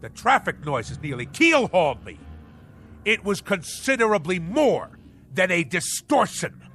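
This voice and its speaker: An actor playing a pompous, irascible middle-aged boss in a radio drama, blustering in nautical turns of phrase like a sea captain. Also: male voice, sits high, very animated, a little slow, a bit bright, heavily acted. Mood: indignant, pompous. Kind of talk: theatrical radio drama acting. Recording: radio drama with music underneath.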